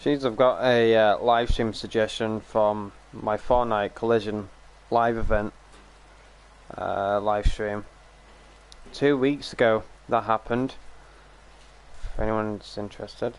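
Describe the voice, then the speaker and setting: A man's voice: lowish voice, steady tone, slow pace. A young man chatting casually on a live stream, rambling and grumbling, his voice a little strained from a recovering sore throat. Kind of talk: casual live stream chat while gaming.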